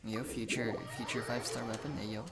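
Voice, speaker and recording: A woman's voice: a bass voice, level tone, a little fast, very noisy. A woman giving casual, slangy, offhand commentary while playing a video game and reading its dialogue. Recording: game music behind the voice.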